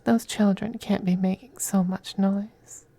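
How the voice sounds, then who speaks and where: Man voicing a soft, high-pitched, slow-talking femboy vampire. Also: little pitch movement, no room sound, heavily acted. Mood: menacing, wistful. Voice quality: soft, breathy, often whispering. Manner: slow, coaxing, condescendingly affectionate. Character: possessive, teasing, doting femboy vampire master styled as mistress. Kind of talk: intimate ASMR roleplay.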